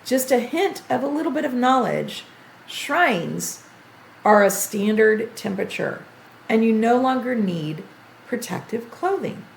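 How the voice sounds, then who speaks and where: An older woman streaming a video game tutorial, chatty and thinking aloud as she plays, her voice going a bit hoarse. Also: fairly high pitch, lively intonation, a little slow, echoey room, a little hiss or hum, rough recording. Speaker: gaming streamer. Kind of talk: game tutorial livestream commentary.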